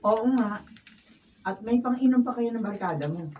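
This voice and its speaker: A woman's voice: medium pitch, lively intonation, moderate pace, darkish tone, far from the mic, lightly acted. A woman playing a disapproving, nagging wife with a conscience in a radio drama. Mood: reproachful. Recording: muffled off-mic room recording, noise, clicks.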